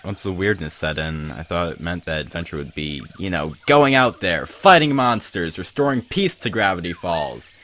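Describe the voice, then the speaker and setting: Man acting a pensive, very deep-voiced teenage boy. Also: lively intonation, normal speed, few pauses, fairly bright tone, a little hiss or hum. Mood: worked up. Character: earnest, reflective teenage boy adventurer, slightly nerdy. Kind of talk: dramatic fan comic dub.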